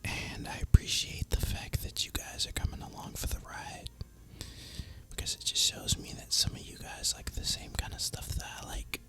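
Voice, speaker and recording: Man whispering a casual gaming ramble. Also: normal speed. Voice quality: breathy, unvoiced whisper. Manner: rambling, casual, relaxed delivery. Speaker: ASMR gaming video creator and host. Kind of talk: whispered ASMR gameplay ramble.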